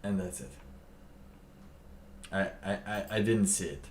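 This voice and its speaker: A man live streaming, chatting casually in a halting, rambling way with lots of fillers. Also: low voice, heavy background noise. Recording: roomy, distant mic with faint ambience.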